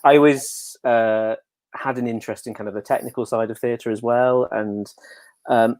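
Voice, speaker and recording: A man's voice: mid-range voice, fairly even intonation, moderate pace, a little mellow, no echo. An English man chatting casually and telling anecdotes as an interview guest, with a jokey, self-deprecating manner. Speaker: interview guest, pantomime dame performer.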